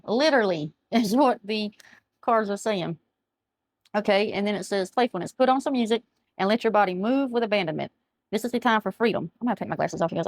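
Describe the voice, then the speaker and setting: A middle-aged woman, chatting through a tarot reading and reading aloud from a card book, her voice going hoarse as she often loses her words. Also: mid-range voice, dry recording. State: losing her voice. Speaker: tarot reader. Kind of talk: casual tarot reading to camera. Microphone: camera mic, speaking to camera.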